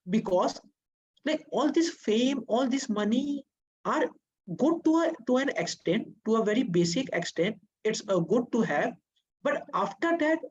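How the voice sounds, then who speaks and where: A woman's voice: mid-range voice, darkish tone, slightly rough, lo-fi sound. A young woman, giving earnest, hesitant advice in a casual interview. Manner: earnest, hesitant, many fillers and restarts. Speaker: interview guest.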